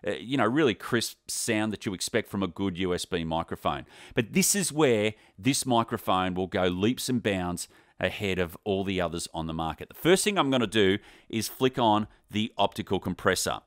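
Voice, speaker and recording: A man with an Australian accent presenting an enthusiastic microphone review at his home studio desk, talking into a condenser on a boom arm. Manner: enthusiastic, upbeat presenter delivery. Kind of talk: product review demo. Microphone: USB condenser on boom arm with pop screen.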